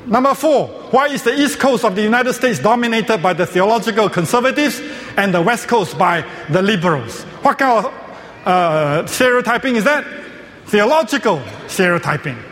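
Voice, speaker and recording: High-voiced man lecturing in a hall. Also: expressive, moderate pace, a bit bright. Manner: asks questions and answers them himself. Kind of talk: joke-filled lecture. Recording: long hall reverb.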